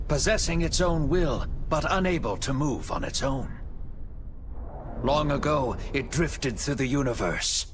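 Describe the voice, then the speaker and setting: A man narrating a game cutscene like a storybook, in a measured, dramatic storytelling manner. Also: medium pitch, animated, slight background noise.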